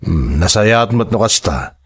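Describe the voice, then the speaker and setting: A man playing a domineering old father, swooping pitch. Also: lively intonation, measured pace, husky. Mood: stern. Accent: Ilocano. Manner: domineering, scolding. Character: stern, bullying older father of the household's mistress. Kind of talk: audio drama acting.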